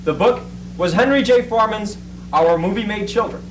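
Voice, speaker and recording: A young man narrates a readers theatre piece in a declamatory, theatrical style. His voice is exceptionally high for a man. The amateur stage recording is clearly noisy. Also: normal speed. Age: young adult.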